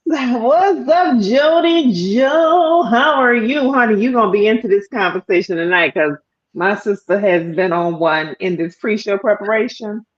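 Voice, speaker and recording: A middle-aged woman co-hosting a live talk stream, animated and playful, laughing often. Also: medium pitch, dull audio. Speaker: co-host. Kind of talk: lively live-stream chat.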